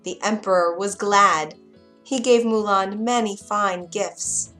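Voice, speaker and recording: Expressive woman reading a bedtime story. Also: mid-range voice, slow, faint music behind. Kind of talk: children's bedtime story narration.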